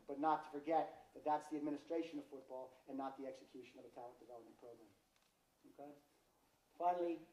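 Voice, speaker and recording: A man's voice: a little high, dark tone. An American man giving a seminar presentation to coaches, speaking directly and posing rhetorical questions. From United States. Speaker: football development presenter. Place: large indoor seminar hall. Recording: roomy hall, distant microphone.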